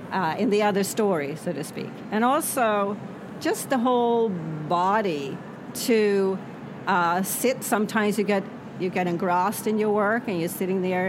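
A woman whose first language is Swedish, an author answering interview questions in a reflective way that often trails off softly. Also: medium pitch, measured pace. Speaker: author guest. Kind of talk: interview conversation. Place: noisy spot near traffic. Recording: background traffic noise.

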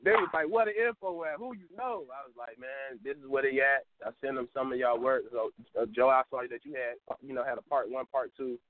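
A man's voice: medium pitch, fairly quick, hardly any pauses. Man, twenties, caller, rambling casual storyteller, tinny phone line. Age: 20s. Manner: loose, rambling storytelling, laughs often. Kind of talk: casual call-in chat.

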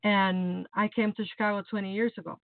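A woman from Mexico City with a Mexican Spanish accent, a guest speaking lively and joking in a casual interview. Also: medium pitch, fairly quick, gravelly, no echo. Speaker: podcast guest. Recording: tinny phone-like line.

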